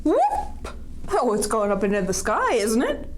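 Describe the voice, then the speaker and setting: Lady reading a kids picture book, kinda high lively voice, asks questions as she goes, bit of background noise. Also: moderate pace. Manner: expressive, questioning, directly addressing listeners. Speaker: storytime host reading a picture book aloud. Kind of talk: animated read-aloud with asides to young children.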